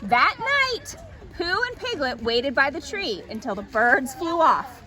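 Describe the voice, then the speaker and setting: A woman reading a children's story aloud as an expressive storytime narrator. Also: high voice, a little slow, rough recording.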